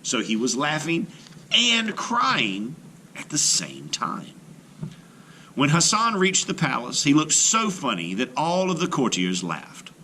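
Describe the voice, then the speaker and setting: A man's voice: a little high, wildly swooping pitch, takes it slowly, pushed and bright, a little hiss or hum. A man reading a children's folktale aloud as a storyteller, in a scripted narration. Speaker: storyteller, art teacher.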